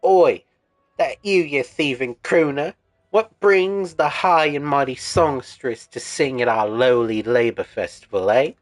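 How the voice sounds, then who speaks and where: A man voicing a brash, scornful rival songstress from a game, taunting loudly and drawing out his words. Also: mid-range voice, dry recording, soft background music, lightly acted. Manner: loud, jeering, drawn-out taunts.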